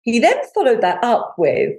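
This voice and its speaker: A woman's voice: a little high, normal speed. A middle-aged woman, a business coach, telling her story as an interview guest with lots of fillers. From United Kingdom. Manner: animated storytelling with many fillers. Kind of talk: conversational podcast interview.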